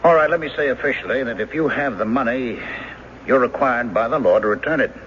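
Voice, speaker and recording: An actor playing a polite but firm police lieutenant with an official manner in a radio drama. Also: male voice, mid-range voice, fairly quick, a bit bright, close to an everyday voice. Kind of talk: old-time radio drama acting. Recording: muffled noisy radio drama recording.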